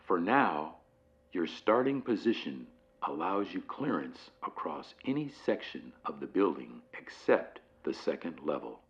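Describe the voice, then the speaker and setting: A man playing a calm, formal corporate training guide in an analog horror video, speaking deliberately with measured pauses on a dull lo-fi recording. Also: deep voice, exaggerated voice. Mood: formal, instructive. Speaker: narrator of fictional company training guide. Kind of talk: scripted instructional narration, analog horror.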